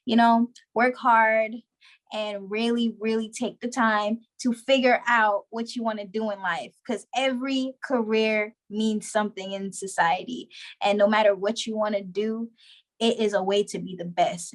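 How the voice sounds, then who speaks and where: Chatty young woman guest. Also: mid-range voice. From Trinidadian background. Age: young adult. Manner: chatty, slangy, full of "like" and laughs. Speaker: guest, show creator. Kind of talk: casual interview.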